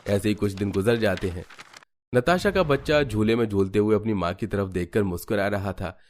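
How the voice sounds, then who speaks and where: A man narrating a scripted family story as a storyteller. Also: lowish voice.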